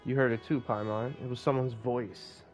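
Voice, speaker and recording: A man streams a game in an informal, mid-pitched voice. He reacts in short, casual asides while game music plays clearly behind him. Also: steady tone, moderate pace. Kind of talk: casual gameplay commentary.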